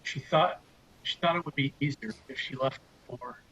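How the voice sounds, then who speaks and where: Brief: guilty father, soft apologetic tone, male actor. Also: mid-range voice, moderate pace, slightly rough, poor audio. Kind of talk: table read acting.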